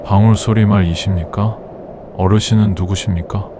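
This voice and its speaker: Guy acting determined shaman around thirty, low voice, terse and formal, noisy background, audio drama. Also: fairly even intonation, normal speed, natural voice. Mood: urgent questioning. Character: resolute thirty-year-old male shaman seeking his mother. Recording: noisy ambience behind the voice.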